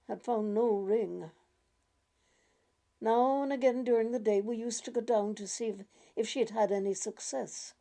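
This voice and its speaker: A woman gently reading a literary short story aloud in a measured, deliberate way. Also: a little high, vocal fry. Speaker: reader of short stories.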